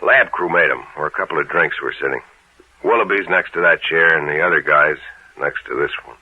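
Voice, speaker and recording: An American man playing a gruff, plain-spoken police sergeant in an old-time radio drama, talking in a casual, folksy, matter-of-fact way. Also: a bass voice, fairly quick, no echo, full character voice. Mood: explaining. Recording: narrow, tinny old radio recording.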